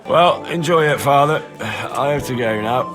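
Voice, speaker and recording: A man's voice: mid-range voice, unhurried, a bit bright, faint music behind, lightly acted. A man acting a plain-spoken young investigator in a video game, dogged and a little wry. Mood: dry.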